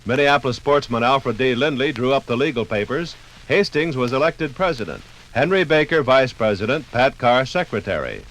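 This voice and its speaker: A man's voice: mid-range voice, expressive, moderate pace, fairly bright tone, rough recording. An American man narrating a scripted 1950s radio documentary program. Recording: 1958 radio program from LP record. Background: faint music.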